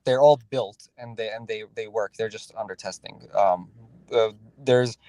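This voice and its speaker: A man walks through what his team is building, stopping and restarting often with fillers. His voice sits at a middle pitch, and the sound is dry and close. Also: a little mellow, no room sound, low-quality recording. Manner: halting, many fillers and restarts. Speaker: guest developer. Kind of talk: casual conversational interview.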